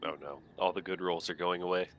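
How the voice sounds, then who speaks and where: A man playing a tabletop game, talking casually and haltingly with many fillers. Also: low voice, fairly even intonation, highs cut off. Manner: hesitant, halting with "uh" and "kinda". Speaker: player.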